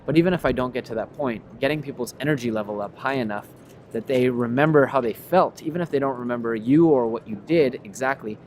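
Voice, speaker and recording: A man with an average-pitched voice talks in a loose, conversational podcast-host style. He tells stories in an animated way and acts out sample greetings. Clear outdoor traffic noise sits behind his voice. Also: fast talker. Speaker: podcast host and memory expert. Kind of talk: casual conversational discussion.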